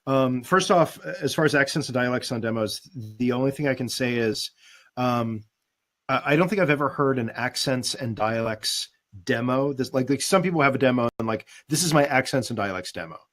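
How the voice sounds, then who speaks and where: A man speaking as a guest casting director, giving conversational advice in a halting, careful way. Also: mid-range voice, a bit bright.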